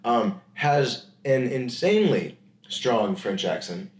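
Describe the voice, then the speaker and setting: Male podcast co-host, lively swooping intonation, incredulous and emphatic, casual delivery, roomy sound. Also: medium pitch, slow. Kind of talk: casual comedic chat about films. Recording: echoey room.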